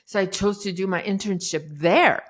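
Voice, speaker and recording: A middle-aged woman, a life coach guest on a podcast, telling her story in a lively, dramatic way. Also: mid-range voice, moderate pace. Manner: animated, dramatic retelling with acted-out quotes. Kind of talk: casual interview storytelling.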